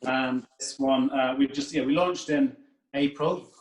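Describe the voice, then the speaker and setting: Echoey, distant man pitching on a call. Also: fairly even intonation, a little slow. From Northern Ireland. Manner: chatty, hesitant, uh and um fillers. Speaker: drinks company co-founder. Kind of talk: casual product pitch on a video call. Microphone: video call.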